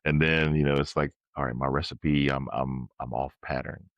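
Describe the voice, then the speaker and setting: A man's voice: very deep voice, fairly even intonation, normal speed, no room sound, lo-fi sound. A man co-hosting a podcast, speaking in a casual, reflective conversational style and explaining things analytically. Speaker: podcast co-host.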